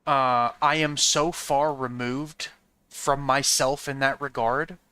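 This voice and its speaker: A man's voice: medium pitch, steady tone, takes it slowly, sharp tone, clear, resonant tone, no room sound. A man streaming a game and chatting with viewers in a rambling, frank way, often asking "you know what I mean?" and swearing now and then. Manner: frank and profane. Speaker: streamer talking to chat. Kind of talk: casual chat with viewers while playing.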